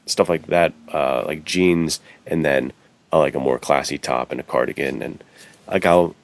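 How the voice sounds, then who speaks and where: Very deep, gravelly man chatting. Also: normal speed, no room sound. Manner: slangy, bro-like phrasing. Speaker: podcast co-host. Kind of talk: casual podcast chat.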